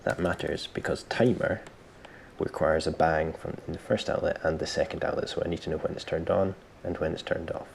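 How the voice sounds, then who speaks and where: A man presenting a software tutorial step by step, informally thinking aloud as he explains. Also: rumbling low, fairly even intonation, brisk, gravelly, slight background noise. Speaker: tutorial host.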